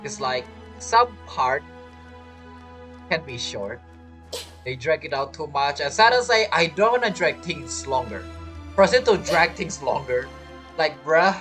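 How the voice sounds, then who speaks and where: A man's voice: fairly high pitch, very animated, measured pace, long pauses, fairly bright tone, soft background music. A man giving casual, slangy, jokey commentary as he plays a video game. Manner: jokey, acts out imagined quotes, slangy. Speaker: game streamer.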